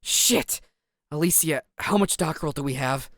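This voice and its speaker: An actor playing a commanding master in an audio drama, brusque and authoritative. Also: male voice, on the high side, measured pace, a bit bright, dry recording, clean studio sound. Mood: urgent irritation. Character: commanding, brusque master used to being obeyed.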